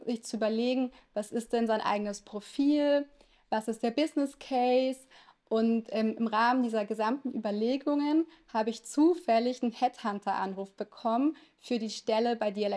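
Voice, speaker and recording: Chatty woman lawyer, slightly high voice. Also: a little mellow. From Lower Saxony, Germany. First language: Italian. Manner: chatty, frequent hesitation fillers. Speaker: lawyer, podcast guest. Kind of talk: conversational interview answers.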